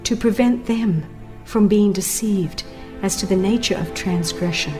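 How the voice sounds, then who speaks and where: A woman reading a religious text as a dramatized audiobook narration, solemn, emphatic and deliberate in delivery. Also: mid-range voice. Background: music.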